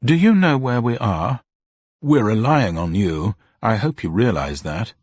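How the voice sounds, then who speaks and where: A man's voice: fairly low pitch, lively intonation, unhurried, a little mellow, breathy edge, no echo, clean studio sound, pitched above the performer's own voice. A man voicing a cool, matter-of-fact young woman in a radio drama reading. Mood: cool, polite.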